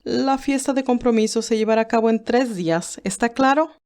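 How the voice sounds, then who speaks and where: A woman's voice: a little high, brisk, darkish tone, voice pushed up, lightly acted. A woman voicing a stern, imperious old great-aunt who gives clipped orders in a dramatized audiobook. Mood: cold, commanding. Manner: authoritarian, clipped commands.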